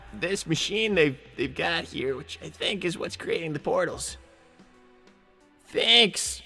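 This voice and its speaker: A man voicing an excitable young male fox, an earnest, chatty inventor sidekick who stammers and rambles in live role-play. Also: high-pitched, heavily acted. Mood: indignant. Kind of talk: improvised in-character livestream role-play. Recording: long reverb, music behind. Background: music.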